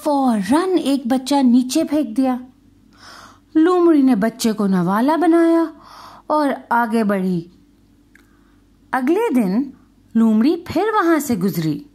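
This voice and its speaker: A woman's voice: high-pitched, expressive, long pauses, warm tone. A woman storyteller narrating a scripted folk tale for children.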